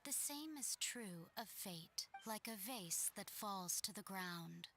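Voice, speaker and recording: A woman playing a mysterious female figure in a game, speaking cryptic parables in a solemn, measured, philosophical way. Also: medium pitch, expressive, pushed and bright, a little hiss or hum, heavily acted. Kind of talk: scripted game voice acting.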